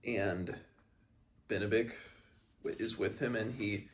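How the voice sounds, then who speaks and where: A man reading aloud from a fantasy novel and retelling it casually, haltingly paraphrasing between passages. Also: lowish voice, fairly even intonation. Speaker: host of a book read-along.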